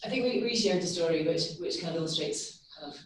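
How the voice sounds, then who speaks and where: Scottish woman, low-ish voice, quick and lively delivery, recorded from far off in an echoey room with a crowd behind. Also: a little hiss or hum. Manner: lively, laughing, emphatic. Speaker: workshop participant. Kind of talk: casual storytelling.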